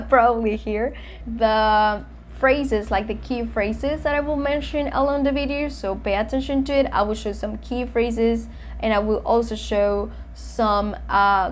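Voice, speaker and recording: A woman's voice: fairly high pitch, a little hiss or hum. A woman in her late twenties from Venezuela, a native Spanish speaker with a Venezuelan accent, chatting expressively as a teacher telling a story to learners. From Maracaibo, Venezuela. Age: late 20s. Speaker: English teacher and video host. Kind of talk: casual storytelling for language learners.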